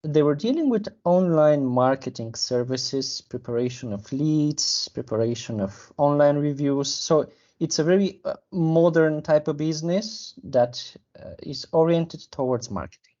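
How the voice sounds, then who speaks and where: A man, a sales manager explaining a client case in a measured, careful way with pauses to find words. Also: fairly high pitch, animated, mellow, muffled recording. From Bulgaria. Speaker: sales and business development manager. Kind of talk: business interview.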